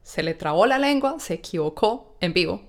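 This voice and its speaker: A woman's voice: fairly low pitch, expressive, normal speed. A young adult woman, a podcast guest in casual conversation, earnestly explaining things step by step, recorded in an apartment. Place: apartment living room.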